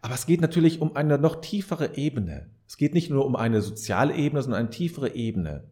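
A man's voice: mid-range voice, animated, brisk, mellow. A man speaking in a reflective, explanatory podcast monologue, searching for his words and correcting himself as he addresses the listener. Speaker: podcast host.

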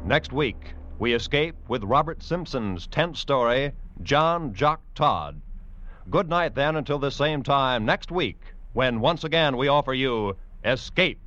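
A man's voice: mid-range voice, animated, a little slow, over loud music. Formal male announcer on muffled old radio. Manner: formal, polished. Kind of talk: scripted 1940s-50s radio announcing. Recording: dull old radio broadcast.

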